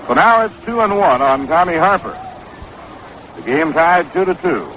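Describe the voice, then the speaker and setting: A man calling baseball play-by-play on 1960s radio, measured and descriptive, on an old broadcast recording. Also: a little high, animated, fairly bright tone. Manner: measured, descriptive calls that quicken on action. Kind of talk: 1960s live radio sports commentary. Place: outdoor ballpark broadcast position. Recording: noisy, dull vintage 1960s radio broadcast.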